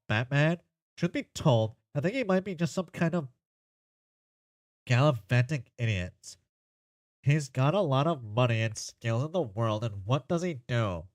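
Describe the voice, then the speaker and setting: A goofy, chatty boy character, played by a man in a comic dub. He rambles earnestly and playfully through silly opinions in a mid-pitched male voice, recorded close and dry. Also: lively intonation, a little slow, dry recording, lightly acted. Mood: opinionated, playful.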